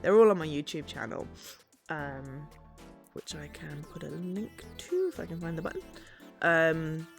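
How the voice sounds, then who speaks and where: A woman chatting as she streams a game, a bit hoarse from a sore throat, bubbly and quick to laugh. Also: low-pitched, animated, music in the background. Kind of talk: casual game-stream chat.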